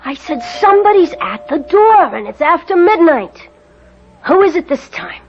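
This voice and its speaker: A woman's voice: extremely high pitch, very animated, moderate pace, slight background noise, heavily acted. An actress playing a suspicious, nagging working-class woman in a 1970s radio drama, scolding and sarcastic. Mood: exasperated. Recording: dull old radio recording.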